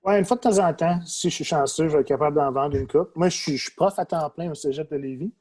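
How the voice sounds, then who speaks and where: A man's voice: fairly high pitch, fast, slightly rough. A young adult man with a Québécois accent, native English speaker, chatting casually as a podcast guest, with lots of fillers and restarts. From Quebec. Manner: relaxed, many fillers and restarts. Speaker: guest, toy photographer. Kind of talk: casual conversation.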